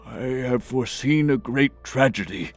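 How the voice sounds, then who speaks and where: A man playing an earnest archmage in a fantasy audio drama, speaking formally and gravely with some hesitation. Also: medium pitch, lively intonation, normal speed, soft background music. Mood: grave, pleading. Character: earnest male archmage, deferential royal adviser.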